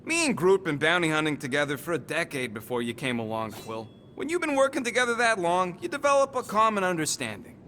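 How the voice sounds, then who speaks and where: A cocky little bounty hunter creature in a video game. He is irritable and slangy, with a sharp, forceful edge and pitch that jumps around a lot. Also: very animated, fast, few pauses, slight background noise. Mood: grudging, boastful. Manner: brash, dismissive, slangy street talk.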